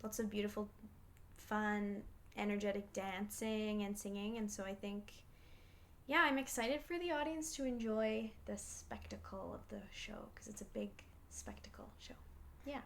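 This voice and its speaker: A woman stage actor with a soft voice, answering interview questions hesitantly with many ums. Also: medium pitch, normal speed. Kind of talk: casual interview chat. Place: small trailer on an outdoor theatre site.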